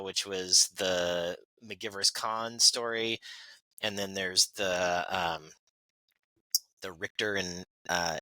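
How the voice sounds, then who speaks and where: A man with a low voice that sounds strongly bright and forceful talks easily and enthusiastically in a podcast chat. The sound is dry and close. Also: no echo, rough recording. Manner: easygoing and enthusiastic. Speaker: podcast co-host. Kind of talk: casual review chat.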